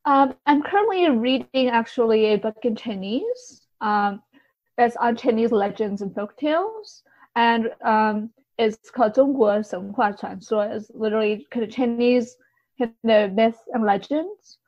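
A woman's voice: fairly high pitch, animated, measured pace, a little mellow, dry recording, dull audio. A woman answering casually as a podcast guest, in a tentative, hedging way. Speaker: guest, translator and poet.

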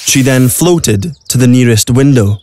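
A man narrates a story from a script. His voice sits at a medium pitch. It is recorded dry in a studio, with no room sound. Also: lively intonation, a little slow, a little mellow, dry recording.

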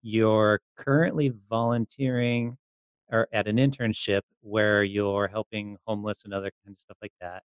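A man's voice: fairly low pitch, little pitch movement, normal speed, smooth, no room sound, muffled recording. A man interviewing a guest as a podcast host in a casual, conversational style.

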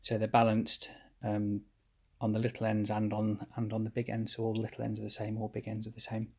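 A man calmly explaining his engine rebuild step by step while he works at a cluttered workshop bench. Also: on the low side, gravelly, husky. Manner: methodical, plain explanatory, pauses mid-phrase. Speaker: home mechanic rebuilding an engine. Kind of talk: hands-on workshop walkthrough.

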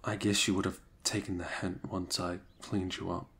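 Gravelly, husky man in soft roleplay. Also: low voice, flat delivery, moderate pace. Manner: soft-spoken and hesitant, often whispering. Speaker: ASMR roleplay creator. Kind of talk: improvised ASMR boyfriend roleplay.

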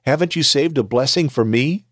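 A man's voice: medium pitch, normal speed, no room sound, studio quality, voice pushed up, natural voice. A man reading aloud the words of a grieving eldest son who pleads in a scripture reading. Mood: pleading.

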